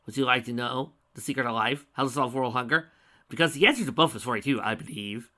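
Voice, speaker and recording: A man's voice: mid-range voice, quick pace, sharp tone, close to an everyday voice. A man voicing a manic, bloodthirsty male alicorn villain who cackles and sneers. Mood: mocking.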